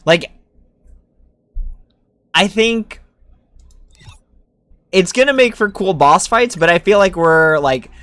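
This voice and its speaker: Male game streamer, informal and musing, slightly high voice, dry close recording. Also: lively intonation, takes it slowly, long pauses, fairly bright tone, dry recording. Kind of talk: casual chat commentary on gameplay.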